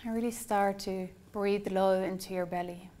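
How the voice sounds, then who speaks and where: A woman leading a guided meditation as an instructor, speaking in a soothing, unhurried, gently instructing way. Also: mid-range voice, steady tone.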